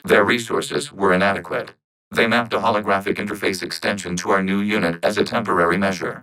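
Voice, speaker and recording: A man voicing a calm, logical networked robot intelligence in a video game, speaking in formal, precise, stilted phrases through synthetic processing. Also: low-pitched, smooth, rough recording. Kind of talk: scripted video game character dialogue.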